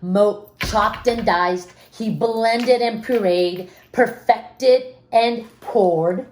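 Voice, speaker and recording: A woman reading a children's story aloud expressively, with dramatic pauses and questions to her young listeners. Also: a little high, a little mellow, a little hiss or hum. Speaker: storybook reader. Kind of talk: animated children's read-aloud with asides to listeners. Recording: roomy, echoing room sound.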